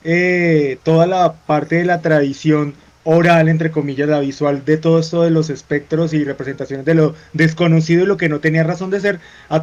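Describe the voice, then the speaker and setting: A man from Colombia, co-hosting a talk show, explaining at length with drawn-out hesitations. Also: on the high side, a little mellow. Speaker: co-host. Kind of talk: casual panel chat. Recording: dull lo-fi sound.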